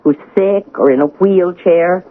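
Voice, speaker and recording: An actress playing a gossipy, nosy older wife in a 1980s radio drama, with a fussy, coy manner. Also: female voice, lowish voice, a little slow, close to an everyday voice. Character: chatty, nosy older wife, amateur sleuth. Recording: tinny, faintly noisy old radio recording.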